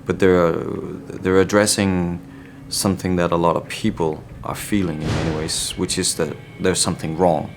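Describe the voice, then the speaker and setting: A man from Denmark with a Danish accent, a band member answering interview questions in a reflective way, often pausing to search for words. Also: sits low, little pitch movement, music in the background.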